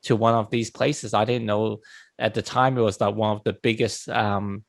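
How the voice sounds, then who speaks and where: A man's voice: on the low side, level tone, normal speed, vocal fry, no echo. A man from Hong Kong hosting an interview in a casual, conversational way, full of "like" and "um". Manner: casual, many "like" and "um" fillers. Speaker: host.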